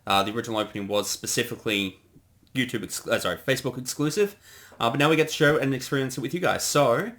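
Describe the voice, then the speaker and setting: A man co-hosting a creator commentary in a relaxed, conversational, affable way. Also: mid-range voice, fast talker. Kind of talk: casual commentary chat.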